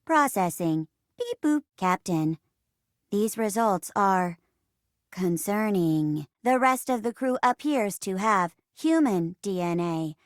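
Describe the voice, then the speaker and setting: A woman voicing an earnest, logical robot in a theatrical space drama game, speaking in a stilted, deliberate way. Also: medium pitch, animated, no room sound, clean studio sound. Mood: measured, logical. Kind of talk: theatrical sci-fi game voice acting.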